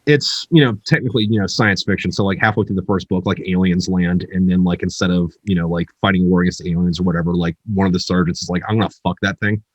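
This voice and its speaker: A man's voice: deep voice, steady tone, fast, hardly any pauses. An American man, a military veteran and guest author, telling stories in casual, profane, slangy podcast chat with plenty of filler. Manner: salty, frequent profanity, slangy filler-heavy delivery.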